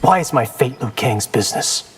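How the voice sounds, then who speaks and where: An actor voicing a confident young warrior in a fighting video game, cocky, wry and determined. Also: male voice, medium pitch, animated, moderate pace, breathy edge, in a large hall, soft background music. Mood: defiant. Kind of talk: video game character acting.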